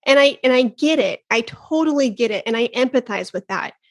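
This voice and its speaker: An enthusiastic woman in her thirties hosts a casual podcast interview. She talks in a slightly high voice, exclaims often and laughs between thoughts. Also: animated, fairly quick. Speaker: podcast host.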